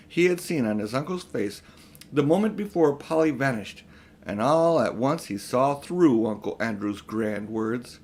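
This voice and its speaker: An older man reading a children's novel aloud as an expressive storybook narrator. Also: mid-range voice. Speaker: audiobook reader.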